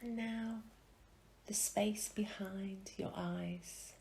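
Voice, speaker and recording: A woman leading a guided meditation in a gentle, soothing way, with soft phrases that trail into a whisper and long pauses. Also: mid-range voice, steady tone, gravelly, a little hiss or hum.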